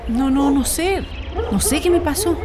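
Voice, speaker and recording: A woman's voice: sits high, animated, measured pace, heavy background noise. An actress playing an anxious, homely middle-aged Chilean mother with a hesitant way of talking in a radio drama. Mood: dazed, reassuring.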